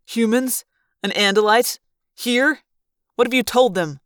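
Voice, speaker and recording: A woman's voice: fairly high pitch, unhurried, smooth, no room sound, clean studio sound, voice pushed up, lightly acted. A woman voicing an angry android who speaks sharply and accusingly. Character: angry male android, rigid and demanding.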